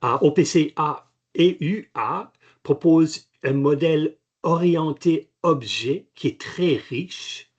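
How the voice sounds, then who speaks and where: A man, a native French speaker, answering technical questions on a webinar in a halting way with many restarts. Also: fairly high pitch, breathy edge, lo-fi sound. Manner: halting, frequent restarts and fillers. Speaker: company chairman, guest expert. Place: video call.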